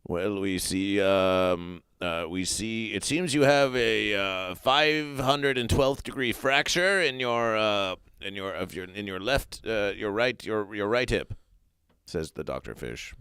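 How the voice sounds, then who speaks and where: Male fish doctor, improvised, low smooth voice, halting patter, a man doing it. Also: lively intonation, a bit bright, no room sound, studio quality, heavily acted. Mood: clinical. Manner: halting, full of fillers and restarts. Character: rambling male fish doctor, self-assured and hesitant. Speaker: comedy performer. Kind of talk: improvised comedy sketch.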